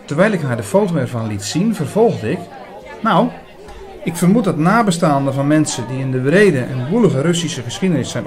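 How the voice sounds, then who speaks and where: A man playing an earnest older priest who narrates a radio drama in a measured way, with dramatic pauses. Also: a little high, darkish tone. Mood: earnest, moved. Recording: busy chatter behind the voice. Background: busy restaurant crowd.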